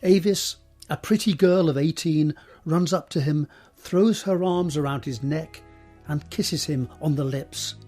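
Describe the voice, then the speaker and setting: Husky male drama narrator. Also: a little high, measured pace, warm tone, faint music behind. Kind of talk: scripted narration of stage directions.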